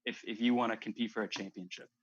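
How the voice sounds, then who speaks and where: A man's voice: on the low side, fairly even intonation, fast, highs cut off. A man co-hosting a sports show, offering casual, conversational analysis of teams and play.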